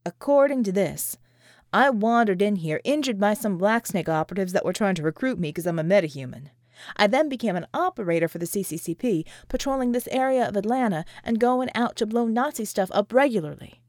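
A woman voicing a skeptical, wary American man with a gruff, folksy drawl, in an audiobook story. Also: sits high, lively intonation, fairly quick, dry recording, clean studio sound. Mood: exasperated.

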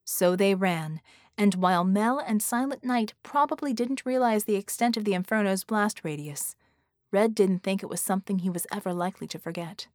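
A woman's voice: mid-range voice, normal speed, dry recording, studio quality. A woman reading an action story as an audiobook narrator, in a dramatic scripted style.

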